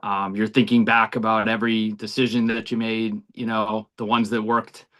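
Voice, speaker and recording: Middle-aged man, low-pitched, earnest and reflective interview guest. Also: little pitch movement, normal speed, fairly bright tone. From United States, near Boston. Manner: earnest, reflective, many ums and you knows. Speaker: college baseball head coach.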